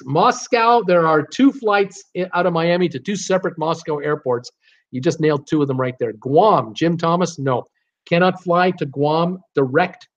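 A man in his sixties hosting a casual livestream quiz from a home office, reading out guesses and answering himself in short, clipped phrases. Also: on the high side. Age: 60s. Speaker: livestream host. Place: small home office, indoors. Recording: slightly dull webcam audio.

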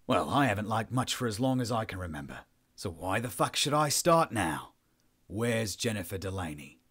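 A man's voice: on the low side, very animated, moderate pace, fairly bright tone, slightly rough, exaggerated voice. A sullen, defiant man held captive, in an audiobook story acted out by a male narrator. He is insolent and foul-mouthed, and he snarls his lines at whoever is questioning him. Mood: bitter, insolent.

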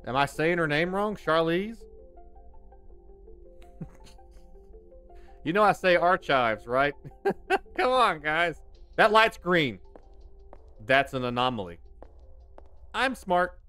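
Man in his 30s, game streamer, smooth voice that swings up and down, rambling jokes on a headset. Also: on the high side, sing-song, slow pace, long pauses, bright, forceful tone, soft background music. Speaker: gaming streamer. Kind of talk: casual live commentary while playing. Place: home desk indoors. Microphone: headset mic.

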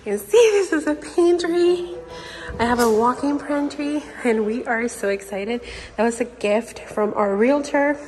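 A young woman from Puerto Rico, gushing with excitement as she films a tour of her new empty house on a handheld phone. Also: a little high, measured pace. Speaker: vlogger. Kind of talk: home tour vlog. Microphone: handheld phone camera.

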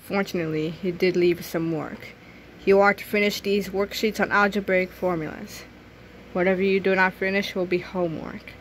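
A woman voicing a strict male substitute teacher in a children's graphic novel read-aloud, speaking in a flat, commanding way. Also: on the low side, moderate pace, a little hiss or hum, pitched below the performer's own voice, natural voice, low-quality recording. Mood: stern.

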